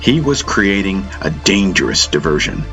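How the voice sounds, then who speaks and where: A man reading a dramatic first-person audiobook story in deliberate, theatrical phrases with weighty pauses. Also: sits low, huge pitch swings, fairly bright tone. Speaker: audiobook narrator. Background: atmospheric music soundtrack.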